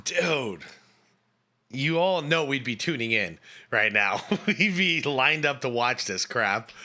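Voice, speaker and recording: A man with a hoarse voice from a cold, chatting casually with many fillers as he hosts a reaction video. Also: mid-range voice, lively intonation, takes it slowly, bright, forceful tone.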